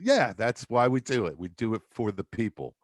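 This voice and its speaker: A man with a deep voice rehearsing a stand-up bit, loose, profane and self-mocking. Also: big pitch swings, normal speed, no echo. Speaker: stand-up comedian.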